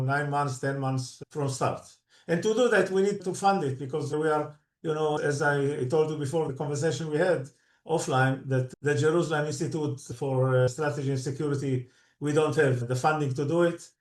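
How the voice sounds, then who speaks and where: A middle-aged man with an Israeli accent, a native Hebrew speaker, earnestly explaining his book project in an interview and often restarting and rephrasing his sentences. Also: a little high. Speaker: book co-author and security expert. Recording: roomy echo.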